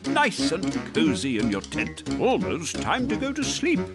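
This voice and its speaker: A woman narrates a children's game slowly and patiently, her voice leaping all over the scale as she praises and encourages. The game audio has loud, bouncy music underneath her. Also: sits low, huge pitch swings. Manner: encouraging, praising, sing-song. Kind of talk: scripted narration for young children.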